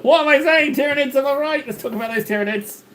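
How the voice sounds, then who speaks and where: A man's voice: extremely high pitch, quick pace, a bit bright. A man live-streaming while he paints, rambling and joking as he banters with his chat.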